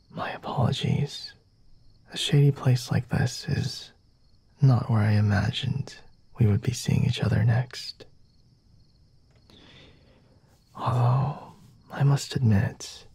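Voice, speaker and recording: A man playing a flirty, self-assured vampire lord in an ASMR roleplay, speaking softly and formally in a breathy, often whispered voice with a teasing manner. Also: mid-range voice, no echo, full character voice. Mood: teasing, amused. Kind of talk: ASMR roleplay, intimate dramatic monologue.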